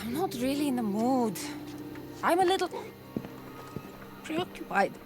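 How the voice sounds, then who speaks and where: A woman's voice: high voice, very animated, a little slow. An actress playing a weary, sardonic mercenary woman in a video game cutscene, speaking casually. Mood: guarded, resigned. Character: wry, weary Greek mercenary woman in her prime. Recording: music behind the voice. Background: music.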